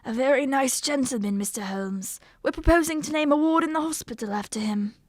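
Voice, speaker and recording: A woman acting a loyal gentleman sidekick in an amateur audio drama, polite, proper and earnest. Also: high-pitched, brisk, dry recording, studio quality, close to an everyday voice.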